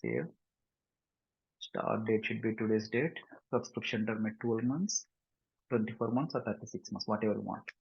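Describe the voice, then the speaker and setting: A man with an Indian Telugu accent gives a step-by-step software tutorial as a trainer, mixing two languages and often checking that learners follow with short questions. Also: lowish voice, extremely slow, creaky, pauses often, breathy edge. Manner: instructional, repeatedly checking understanding with short questions. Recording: dull, roomy lo-fi screen recording.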